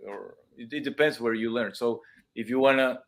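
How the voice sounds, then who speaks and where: A man with a non-native English accent, talking from a room over a video call. He explains at an average pitch, reaching for examples and restarting his phrases. He sounds like a teacher chatting with a host. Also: normal speed, fairly bright tone. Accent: non-native English speaker. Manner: explains with everyday comparisons, many restarts. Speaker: dance and music teacher; guest. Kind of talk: casual live-stream chat. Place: room at home with cameras.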